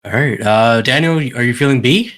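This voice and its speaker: A man's voice: mid-range voice, normal speed, a bit bright. A man hosting a casual group podcast discussion, introducing items and polling the panel. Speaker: podcast host.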